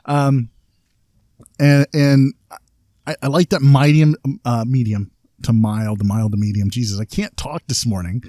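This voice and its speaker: A man's voice: medium pitch, steady tone, a little slow, dark tone, no echo, studio quality. Opinionated man talking cigars outdoors. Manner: opinionated, complaining, enthusiastic aficionado. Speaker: podcast co-host. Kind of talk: casual chat. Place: outdoors, light breeze.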